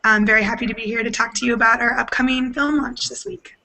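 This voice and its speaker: A woman's voice: a little high, steady tone, quick pace, bright, forceful tone. An American woman, a marketing director, introducing herself professionally on a video call. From United States. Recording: faint noise on video call.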